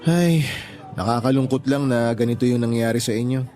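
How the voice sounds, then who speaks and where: A man playing a calm, supportive young boyfriend in a Tagalog radio drama, speaking in a reassuring, conversational way. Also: mid-range voice, a little fast, faint music behind, lightly acted. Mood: sympathetic, reassuring.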